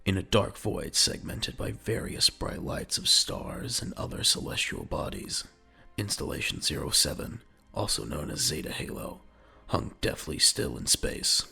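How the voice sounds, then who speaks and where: A man narrating a scripted audio drama in a measured, dramatic, gravelly voice. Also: extremely low pitch, expressive, sharp tone, faint music behind.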